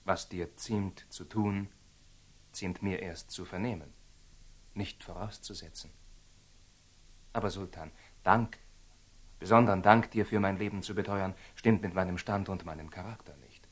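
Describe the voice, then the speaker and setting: An actor playing a proud, blunt young knight with formal, terse delivery in a classic German radio drama. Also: male voice, sits low, steady tone, measured pace, long pauses, husky. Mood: stiff, proud. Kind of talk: theatrical German radio drama acting of classic stage verse.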